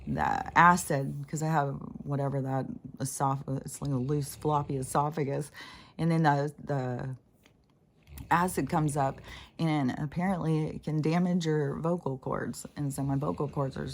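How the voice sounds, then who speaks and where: Lady with a real low scratchy voice just rambling on her channel, loses her train of thought, cusses a lot. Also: fairly even intonation, measured pace, gravelly. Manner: loose and candid, drifts off mid-thought, swears freely. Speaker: spiritual channeler hosting her own channel. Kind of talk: rambling casual monologue.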